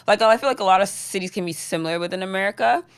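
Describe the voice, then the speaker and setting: A woman's voice: mid-range voice, racing, clean tone, no echo. A young woman in her twenties from the UK, chatting as a guest in an interview, laughing often and filling with "like" and "um". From United Kingdom. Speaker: guest rapper. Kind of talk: casual interview chat.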